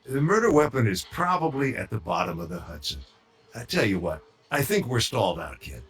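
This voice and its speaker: An actor playing a sardonic, world-weary older police detective in a video game. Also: male voice, mid-range voice, very animated, a little fast, fairly bright tone, breathy edge, full character voice. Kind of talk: video game dialogue acting.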